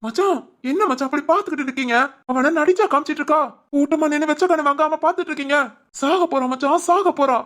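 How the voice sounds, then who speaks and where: A whiny, slightly high comic relative pleads helplessly, as a woman plays the part. The pleading carries a tearful edge throughout the domestic sketch. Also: fast talker, a bit bright.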